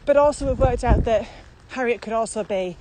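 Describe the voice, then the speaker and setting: A woman's voice: fairly high pitch, brisk, warm tone. A woman co-hosting a podcast, explaining things in casual conversation while out on a walk. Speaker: podcast co-host and virtual training consultant. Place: outdoors on a walk. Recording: very noisy outdoor recording, traffic and ambience.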